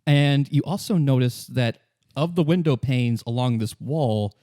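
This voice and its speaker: Mellow male game master in a dry space. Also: medium pitch, dry recording. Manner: halting, self-correcting. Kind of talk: improvised tabletop game narration.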